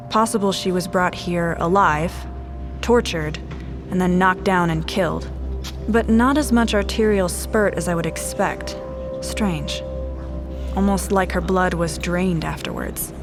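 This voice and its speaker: An actress playing a sharp, composed special agent in an audio drama, speaking in terse, clinical fragments like a profiler examining a scene. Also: female voice, on the low side. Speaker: investigator. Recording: music behind the dialogue.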